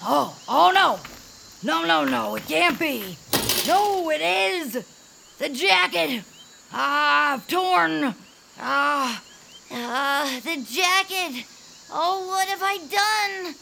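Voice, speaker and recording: A woman playing an eccentric, excitable small inventor creature with a creaky voice and theatrical, self-important phrasing, in a cartoonish audio drama. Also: high-pitched, very slow, a little hiss or hum, exaggerated voice. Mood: panicked. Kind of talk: cartoonish audio fiction acting.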